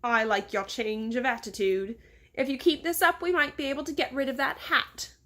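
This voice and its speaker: A woman's voice: high voice, steady tone, moderate pace, fairly bright tone. A woman voicing a pompous, insulting rich man with an English accent in a storybook, imperious and scolding. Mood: condescending.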